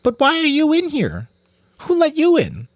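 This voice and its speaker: A man's voice: very high voice, huge pitch swings, moderate pace, dry recording, clean studio sound, voice pushed up, heavily acted. A man voicing a small young girl rat, childishly earnest, blunt and suspicious, in an audiobook. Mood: startled, suspicious.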